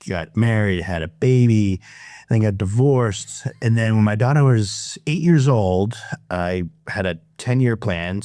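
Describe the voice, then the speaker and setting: Massachusetts-accented man, low voice, dry sound. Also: a little mellow, no echo. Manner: storytelling, restarts, playful teasing. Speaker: podcast guest, property management owner. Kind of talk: casual interview chat.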